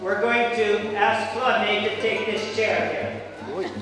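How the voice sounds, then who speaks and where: A man working as a live event announcer, introducing people over a public address system in a drawn-out, projected delivery. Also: high voice, little pitch movement. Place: large echoing venue over loudspeakers. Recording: echoey hall PA from far off, loud music. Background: loud music.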